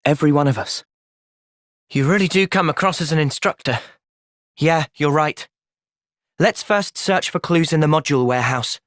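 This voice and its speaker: An actor with an English accent playing an earnest, gentle young soldier in a video game cutscene, speaking in a measured, sincere way. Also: male voice, fairly high pitch, dry recording, studio quality, lightly acted. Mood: calm, resolute.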